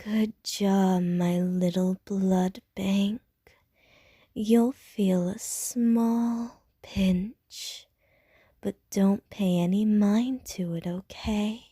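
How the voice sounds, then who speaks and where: A woman voicing a flirtatious, hungry female vampire in an ASMR audio roleplay, whispering softly and teasingly. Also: mid-range voice, steady tone, extremely slow, husky, no echo, studio quality. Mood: sultry whisper.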